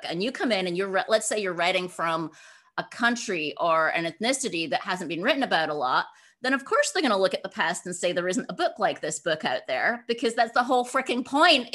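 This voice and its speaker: A woman speaking as a guest author in an interview, informal and emphatic, with animated conversational answers. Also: medium pitch. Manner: emphatic, informal, acts out imagined dialogue.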